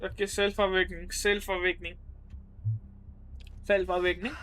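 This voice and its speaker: A man with an Indian accent comments casually on a game stream. His voice is high, bright and clean, fairly flat in melody and quick. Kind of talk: casual live gaming commentary.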